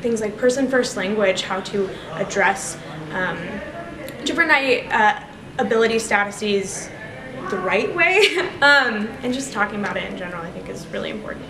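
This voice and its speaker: A young woman giving a casual, halting interview answer as a student, searching for words. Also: medium pitch, lively intonation, distant mic, crowd noise. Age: young adult. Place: indoor room, standing before a camera. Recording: noisy roomy indoor sound, off mic.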